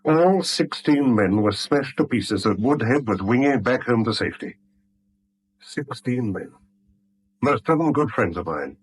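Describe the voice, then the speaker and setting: An actor playing a principled, haunted war veteran in a British radio drama, grave, clipped and stubborn, with a British accent. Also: male voice, mid-range voice, expressive, unhurried, lightly acted, poor audio. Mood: bitter grief. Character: principled, haunted male war veteran turned bank employee. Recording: vintage radio recording with faint hiss.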